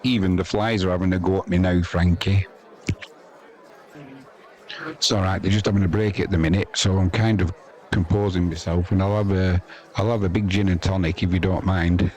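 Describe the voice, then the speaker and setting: A man's voice: low voice, steady tone, moderate pace, a little mellow. A man hosting a live cricket score stream with casual commentary, chatty, informal and self-deprecating. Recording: crowd noise behind the voice. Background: sports crowd.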